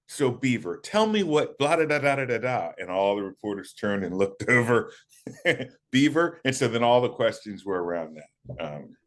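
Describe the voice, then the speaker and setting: A man in his fifties from the United States, recalling his playing days in a relaxed, halting interview manner with many fillers. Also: medium pitch. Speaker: guest, former college running back. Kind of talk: casual interview talk.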